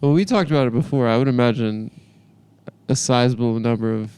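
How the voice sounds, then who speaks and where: A soft-voiced man bantering casually as a comedy podcast host in a deadpan manner. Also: mid-range voice, brisk, clear, resonant tone, no room sound.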